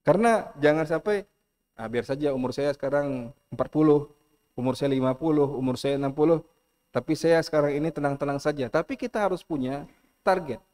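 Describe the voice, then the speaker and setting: A man's voice: a little high, darkish tone. Earnest middle-aged male mosque preacher. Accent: Indonesian. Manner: earnest, repeats key phrases, recites Arabic slowly. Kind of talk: mosque lecture.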